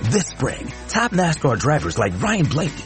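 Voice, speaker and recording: A man's voice: fairly high pitch, big pitch swings, moderate pace, soft background music. An American man reading a scripted promotional voice-over for an advert.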